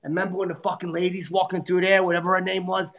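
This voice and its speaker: A man playing a crude, boastful, short-tempered male comic, cursing and griping as he hosts a livestream in a nasal, gravelly put-on voice. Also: high voice, flat delivery, racing, a bit bright. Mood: crude ranting. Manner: profane, insulting, exasperated rants. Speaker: livestream host. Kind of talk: livestream comedy character rant.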